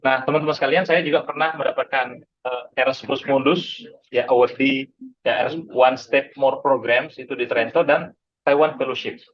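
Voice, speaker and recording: An Indonesian man in his late thirties lectures in an informal, conversational way. His voice sits at a middling pitch, and he often drops in short tags to check that listeners follow. The recording is somewhat dull and low in fidelity. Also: steady tone, moderate pace. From Bengkulu, Indonesia. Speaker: university lecturer. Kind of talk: informal lecture to students, mixing in English phrases.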